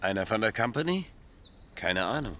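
A fairly low male voice, playing a troubled, sceptical man in a radio drama. He is earnest and questioning with a dry irony, recorded with faint noise. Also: very animated, moderate pace, sharp tone. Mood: incredulous. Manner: earnest, questioning, dryly ironic. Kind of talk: German radio play acting, 1980.

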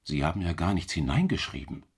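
Hearty patronising male asylum doctor, low-pitched, very animated intonation, middle-aged, radio drama. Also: fast, slightly rough, heavily acted. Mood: patronising, inquiring.

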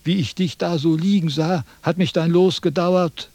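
An actor playing a pompous, self-important ancient Chinese sage in a radio drama, lecturing in a condescending, impatient way. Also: male voice, fairly high pitch, fairly even intonation, moderate pace, a little mellow, dry recording. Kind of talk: theatrical radio drama dialogue.

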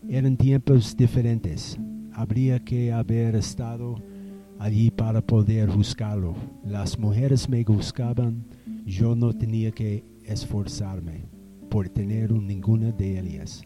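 A man acts out a courtly, proud settler from another century. His voice is low and very slow, his formal and halting, with music playing behind him in a radio drama. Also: steady tone. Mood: unashamed, defensive.

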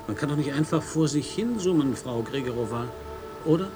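A skeptical, wry American reporter, played by a man in a radio play. His mid-pitched voice questions and narrates with dry doubt. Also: animated, brisk, music in the background, natural voice.

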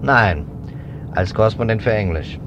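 An actor playing a dogged, suspicious investigating official, recounting methodically and deliberately in radio-play style. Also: male voice, expressive. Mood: convinced. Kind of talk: mid-century German radio play acting. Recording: dull, noisy radio play with vehicle rumble.

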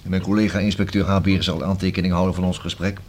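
A deep-voiced police inspector played by a male actor in a vintage radio play. He is formal and courteous, questioning in a measured, official way, with faint noise on the recording. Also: flat delivery, natural voice. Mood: courteous, businesslike. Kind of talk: radio drama dialogue.

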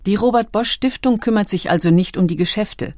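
A woman's voice: medium pitch, brisk, darkish tone, no echo, studio quality. A woman reading scripted documentary narration. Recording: music behind the voice. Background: background music.

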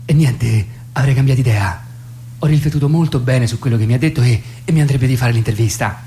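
A man's voice: mid-range voice, fairly quick, warm tone, slight background noise, natural voice. An actor playing a polite but exasperated, sleep-deprived young man who hesitates and protests in a comic radio play. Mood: sheepish. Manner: hesitant, repeating himself, protesting.

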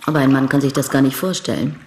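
An actress playing a brash, world-weary young bride-to-be who is coquettish, blunt and provocative, in a radio crime drama. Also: female voice, very deep voice, steady tone, fast, darkish tone, slight background noise. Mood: bitter, weary. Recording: studio radio drama with music underneath.

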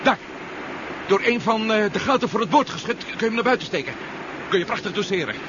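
Dull, noisy radio play: cocky male pilot, high voice, brisk and practical. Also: lively intonation. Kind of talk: 1970s Dutch radio drama acting.

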